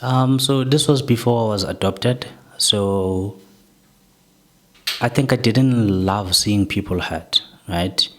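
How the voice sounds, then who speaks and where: A young man from South Africa with a soft voice, reflectively telling his life story as an interview guest, often ending points with "right?". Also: lowish voice, takes it slowly.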